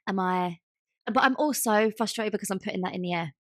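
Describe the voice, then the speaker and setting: A woman's voice: mid-range voice, brisk, fairly bright tone, dry recording, clean studio sound. A young woman co-hosting a podcast, talking candidly and informally with some emphasis. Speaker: podcast co-host. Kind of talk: casual chat.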